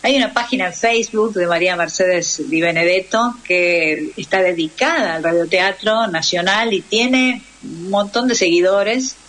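A woman's voice: medium pitch, moderate pace, hardly any pauses, fairly bright tone, rough recording. A woman with an Argentine accent talking conversationally as a radio interview guest, explaining and often checking with tag questions.

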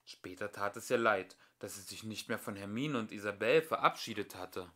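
A man's voice: fairly low pitch, moderate pace, pushed and bright. A man narrating a children's story expressively, as an amateur audiobook reader.

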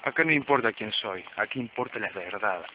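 A man's voice: fairly low pitch, animated, fairly quick, lightly acted. An amateur man actor playing a guarded, grave hooded informant in a detective radio play. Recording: muffled, noisy amateur recording.

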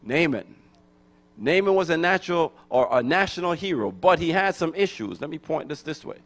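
A man's voice: fairly high pitch, lively intonation, normal speed, a bit bright. A middle-aged man from Brooklyn, New York, preaching a sermon into a handheld microphone in a church, repeating phrases and calling for answers from the congregation. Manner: call-and-response preaching, repeats phrases, rising to emphatic. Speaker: guest preacher.

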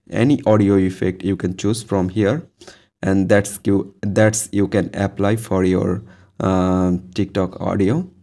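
A man hosting an informal step-by-step tutorial voice-over, speaking haltingly with repeated phrases and frequent ums. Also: fairly low pitch, warm tone. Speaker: tutorial host. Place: voice-over to a phone screen recording.

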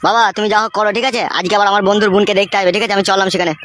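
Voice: mid-range voice, fairly even intonation, racing, a bit bright, a little hiss or hum, lightly acted. A performer voicing a reproachful, offhand young brother-in-law in a comedy cartoon.

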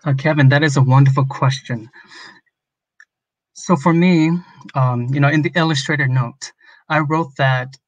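A man's voice: a little high, fairly even intonation, normal speed, long pauses, no room sound, poor audio. A man in his thirties from Minnesota, an illustrator, speaking earnestly and openly on a video call. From Minnesota, USA. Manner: earnest, open, personal. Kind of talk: conversational guest talk. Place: home room.

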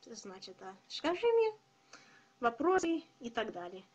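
Young non-native woman vlogger; high, unhurried delivery, careful and halting. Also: very animated, breathy edge, lo-fi sound. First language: not Russian. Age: young adult. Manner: hesitant, careful learner's speech with many pauses. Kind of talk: casual video address to viewers.

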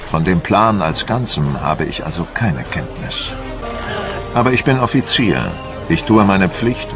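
An actor playing a proud, dutiful French admiral who recounts his campaign in a solemn, measured, formal way for a documentary radio play. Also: low voice. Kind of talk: dramatised documentary narration.

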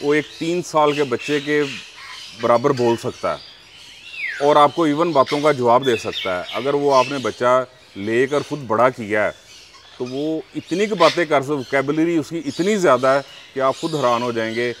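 A man from Pakistan who breeds parrots, answering interview questions calmly and explaining at length with examples. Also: medium pitch, moderate pace, background noise.

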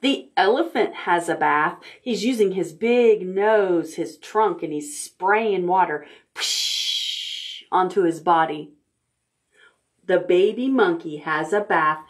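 A middle-aged woman hosting a children's storytime, reading aloud in simple, expressive language for toddlers. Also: lowish voice, slow. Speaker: library storytime host. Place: indoor room, recorded on video.

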